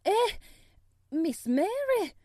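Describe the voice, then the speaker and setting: A woman's voice: sits high, big pitch swings, a little slow, mellow, no echo, clean studio sound, voice pushed up, heavily acted. A woman voicing an anxious young maidservant with a broad Yorkshire accent, exclaiming in dialect. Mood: alarmed. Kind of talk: audiobook character voice.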